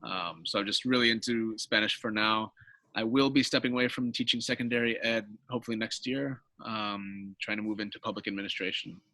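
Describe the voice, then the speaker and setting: A man who grew up in Washington, a Spanish teacher and guest, talking in a friendly, halting way with easy laughs over a video call. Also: fairly low pitch, steady tone, bright, forceful tone, no echo, poor audio. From born in Colombia, raised in Washington. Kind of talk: casual interview talk.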